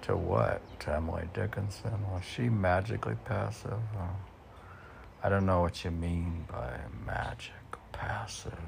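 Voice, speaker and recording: Low, creaky-voiced man, musing slowly. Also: slight background noise. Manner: musing, quizzical, talking to himself. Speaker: poet reading own work. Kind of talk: informal poetry reading with self-questioning asides.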